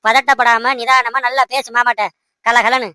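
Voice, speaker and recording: Woman voicing an insistent, bright-voiced young cartoon man. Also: a little high, little pitch movement, moderate pace, clear, resonant tone, no room sound, studio quality. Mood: coaxing, curious. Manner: insistent, repeats short commands and questions. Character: young man, the heroine's fiancé, bossy and coaxing. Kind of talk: amateur cartoon dubbing.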